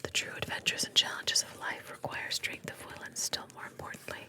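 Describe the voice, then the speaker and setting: A woman reading fairy tales aloud in a breathy, unvoiced ASMR whisper, slow and deliberate. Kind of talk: whispered ASMR storytelling with asides.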